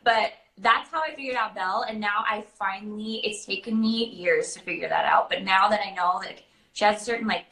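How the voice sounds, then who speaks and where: An American woman hosting a casual online chat, telling stories and answering questions in an animated way. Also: mid-range voice, brisk, few pauses. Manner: animated storytelling, acting out quoted dialogue. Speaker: live show host and character party performer. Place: indoor room, online video chat. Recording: roomy echo.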